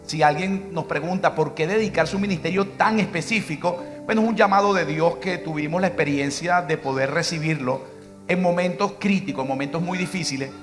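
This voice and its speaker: A man's voice: on the high side, a little fast, fairly bright tone, faint music behind. A middle-aged Venezuelan man with a Venezuelan Spanish accent, a pastor preaching and telling a personal story, acting out bits of dialogue. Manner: storytelling, quoting his wife in dialogue.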